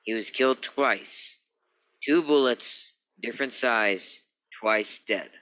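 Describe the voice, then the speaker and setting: Man acting a sharp, bright, morbid morgue doctor. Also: medium pitch, slow pace, no room sound. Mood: grave, insistent. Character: nervous, morbidly musing male morgue medical examiner. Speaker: actor in a radio play. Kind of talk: theatrical radio-play dialogue.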